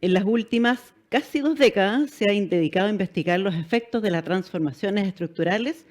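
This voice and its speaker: A middle-aged woman with a Chilean accent, moderating a panel. She reads guest introductions in a measured way, with frequent "eh" fillers and small stumbles over names. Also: medium pitch, darkish tone.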